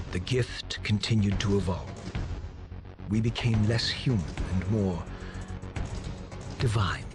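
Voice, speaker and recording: An actor playing a betrayed, tormented undead vampire, narrating in a formal, theatrical and deliberate way for a video game. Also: male voice, low voice. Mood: proud, solemn. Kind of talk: dramatic scripted game narration.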